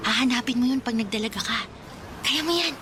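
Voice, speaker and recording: A woman's voice: on the high side, animated, brisk, noisy background, natural voice. A woman playing a sentimental, teasing older girl cousin in a radio romance drama, confiding and dramatic.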